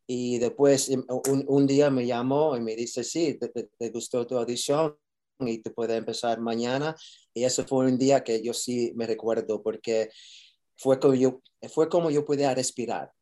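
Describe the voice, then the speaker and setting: A man's voice: medium pitch, fairly even intonation, normal speed, dark tone. A man, an actor, answering interview questions casually, mixing Spanish and English with many fillers and restarts.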